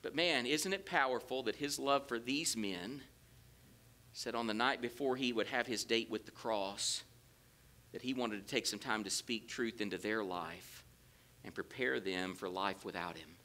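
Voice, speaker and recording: A man's voice: medium pitch, normal speed. A middle-aged man with a Southern accent, preaching a sermon in a church with folksy asides. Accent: Southern American. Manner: animated, gestures widely while preaching; folksy asides between scripture quotes. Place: large church sanctuary with empty pews. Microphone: lectern mic, roams away at times.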